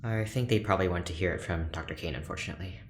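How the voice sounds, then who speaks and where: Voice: sits low, racing. An actor playing a reserved, awkward ship's doctor in a sci-fi audio drama, speaking hesitantly and with stiff formality. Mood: cautious. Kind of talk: audio drama acting, naturalistic dialogue. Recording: roomy echo, faint background noise.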